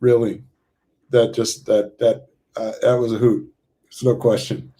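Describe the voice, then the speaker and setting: A man with a medium-pitched voice talks casually on a video call. He is full of enthusiasm and speaks in halting, repeated bits, like a playwright praising his cast. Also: flat delivery, mellow. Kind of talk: casual chat.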